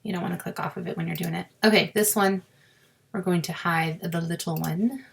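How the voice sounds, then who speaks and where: A woman with a sore, scratchy throat, explaining a crafting software task step by step in a chatty way and muttering to herself as she clicks. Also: fairly low pitch, a little fast. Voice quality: raspy with creaky fry. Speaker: crafting tutorial host. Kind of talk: screen-recorded software walkthrough.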